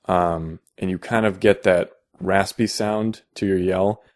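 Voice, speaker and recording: A young man with a deep voice, explaining casually in a how-to video, halting with many fillers and restarts. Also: fairly even intonation. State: out of vocal shape. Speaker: vocal tutorial maker.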